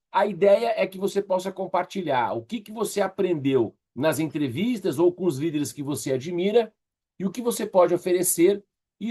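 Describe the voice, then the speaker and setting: A Brazilian man with a slightly husky voice teaching an online class in a conversational, encouraging way, often checking in with his listeners. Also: high-pitched, a little mellow. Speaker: course instructor leading an online workshop. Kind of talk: casual interactive online teaching.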